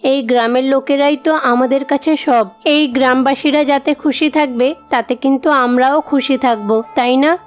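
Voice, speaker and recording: A woman's voice: high voice, fairly even intonation, moderate pace, natural voice. A woman voicing a devoted, generous village headman's wife with an earnest, reassuring manner in a cartoon story. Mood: tender, pleading. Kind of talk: cartoon story dialogue acting. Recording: music behind the voice.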